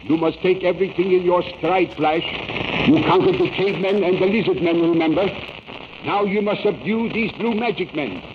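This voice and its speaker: A man's voice: high voice, moderate pace, lightly acted. An actor playing an older male scientist mentor, formal, fatherly and urgent, in a 1930s radio serial with tinny, noisy sound. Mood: shrewd.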